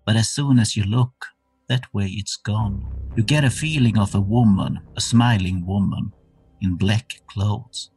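A man's voice: on the low side, measured pace, darkish tone. A man working as game master, narrating a horror tabletop roleplaying game in the second person.

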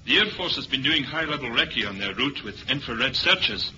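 An actor with a British accent playing a deferential aide to a spy chief in a 1970s radio drama. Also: male voice, mid-range voice, expressive, moderate pace, sharp tone. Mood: anxious. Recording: dull, hissy old radio recording.

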